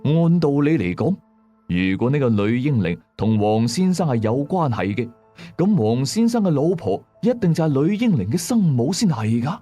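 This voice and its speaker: A man's voice: medium pitch, big pitch swings, normal speed, mellow, soft background music. A man with a Hong Kong accent narrating a scripted ghost story in a colloquial, dramatic and suspenseful way.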